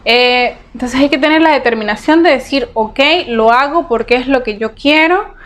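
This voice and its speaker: A woman from Venezuela answering interview questions in an earnest, advice-giving way, pausing often on "eh". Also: sits high. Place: Portugal.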